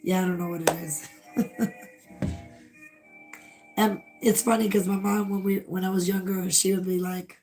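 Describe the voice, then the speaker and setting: A woman's voice: mid-range voice, little pitch movement, normal speed, pauses often, darkish tone, soft background music, low-quality recording. A woman losing her voice, chatting casually as co-host of a live stream, laughing often. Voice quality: hoarse, gravelly. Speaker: co-host of a retro livestream.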